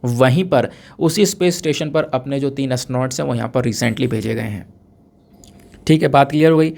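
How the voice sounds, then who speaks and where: A man's voice: mid-range voice, fairly quick, gravelly, a little mellow. A man with a mid-range voice and a sore throat teaches exam students in a conversational lecture style. He explains step by step, posing questions and answering them, and drops English terms into his speech. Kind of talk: current affairs lecture, conversational.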